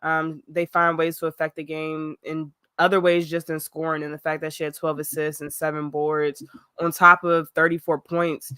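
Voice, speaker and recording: A woman's voice: low voice, normal speed, clean tone, no echo, clean studio sound. A woman with a cold, chatting casually about basketball on a podcast and laughing easily. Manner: laughs easily, relaxed and conversational. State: sick with a cold, voice rough. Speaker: podcast co-host.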